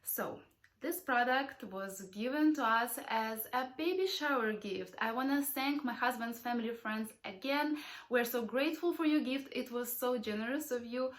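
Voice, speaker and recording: A young woman talks with a high voice while she has a cold. She addresses her viewers in a friendly way, reviewing a product for other parents on her vlog. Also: moderate pace. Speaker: parenting channel host.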